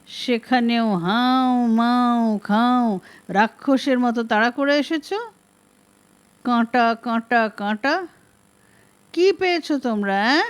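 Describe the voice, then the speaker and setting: A woman reading an audiobook as an older male barrister, tipsy and exasperated, with sarcastic, theatrical mock formality. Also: on the high side. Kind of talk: audiobook detective story reading.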